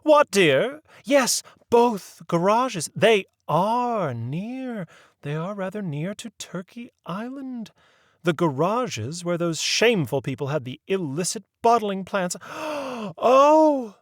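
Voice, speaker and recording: A fussy, genteel older lady with a high, fluttering voice that swoops up and down, as a male reader puts her on. She is prim and sweetly proper, and it sounds close and dry. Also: sing-song, slow, no room sound, full character voice.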